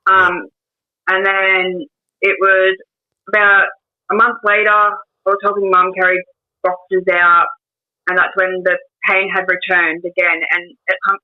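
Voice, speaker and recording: A woman in her twenties with an Australian accent, a guest telling her life story in a casual interview, hesitant with many pauses and restarts. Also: medium pitch, flat delivery. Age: 20s. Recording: tinny phone-like line.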